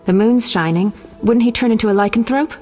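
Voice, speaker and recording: A woman's voice: on the high side, brisk, dark tone, faint music behind, close to an everyday voice. A woman voicing a confident, professional medic in a video game, clinical and matter-of-fact. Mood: clinical, brisk. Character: brisk, confident young female medic, proud professional. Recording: long hall-like reverb.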